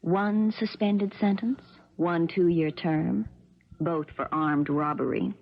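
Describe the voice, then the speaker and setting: A woman's voice: on the low side, steady tone, slow pace, creaky, heavily acted. An actress playing a cold, spoiled rich young woman in a 1970s radio drama, controlled, calculating and commanding. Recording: dull, lo-fi 1970s radio recording.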